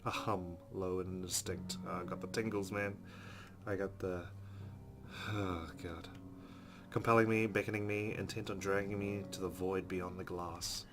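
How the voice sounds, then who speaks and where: A man's voice: deep voice, steady tone, normal speed, over music. A man hosting a let's play, reading story text dramatically and adding casual commentary.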